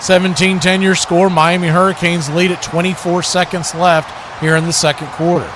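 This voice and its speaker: A man's voice: high-pitched, flat delivery, moderate pace, noisy background. A man doing live play-by-play football commentary as the announcer.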